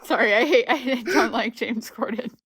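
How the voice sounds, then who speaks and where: A woman's voice: a little high, normal speed, a bit bright, husky. Blunt, dry-witted young woman. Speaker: podcast co-host. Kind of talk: casual chat.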